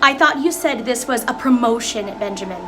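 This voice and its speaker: A woman's voice: on the high side, lively intonation, fairly quick, music in the background, lightly acted. A woman acting a worried, reproachful wife and mother in a musical's dramatic dialogue.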